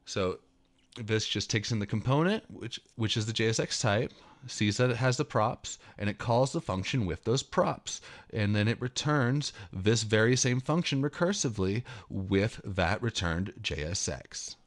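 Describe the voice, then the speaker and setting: Expressive man presenting a programming tutorial. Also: on the low side, measured pace. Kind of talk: dramatic reading of article mixed with casual screencast walkthrough.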